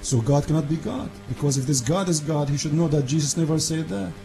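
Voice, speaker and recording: A man whose first language is Arabic hosts a call-in debate, insistent and argumentative, speaking broken English and cutting in with quick repeats. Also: on the high side, creaky, warm tone, faint music behind. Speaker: debate show host.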